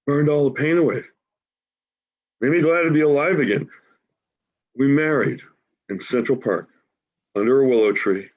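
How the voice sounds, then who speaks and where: A man in his forties acting a grieving, wry homeless man in a staged play reading, halting and emotional in a conversational way. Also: mid-range voice. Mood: reflective, tender. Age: 40s. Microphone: video call. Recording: dull, lo-fi video call audio.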